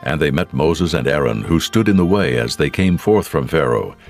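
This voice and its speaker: A man narrating scripture from a script in a solemn, measured storytelling manner. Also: very deep voice, expressive, faint music behind.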